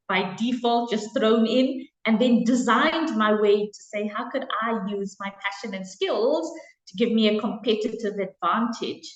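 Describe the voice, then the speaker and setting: A woman's voice: mid-range voice, reverberant, rough recording. A South African woman in her forties, answering interview questions about her career in an earnest, reflective way with frequent fillers and restarts. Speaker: interview guest, HR executive.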